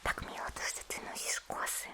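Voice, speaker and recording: A woman playing a sweet, chatty young anime character in an ASMR roleplay, whispering softly and gently as she tends a friend's hair. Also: natural voice. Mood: tender, doting. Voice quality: soft, breathy whisper. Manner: gentle, unhurried, cooing.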